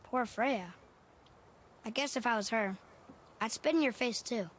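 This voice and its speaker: A man's voice: extremely high pitch, a little slow, fairly bright tone. A young male actor playing an earnest, curious, kind-hearted boy in a video game, plainspoken. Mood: sympathetic. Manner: plainspoken, inquisitive. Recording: ambient engine-like noise.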